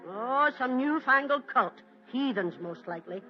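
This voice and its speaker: An actress voicing a homely, caring Scottish landlady in a 1940s radio play, talking briskly and motherly, with a Scottish accent. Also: high voice, animated, faint music behind. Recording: dull lo-fi old radio recording with faint hiss.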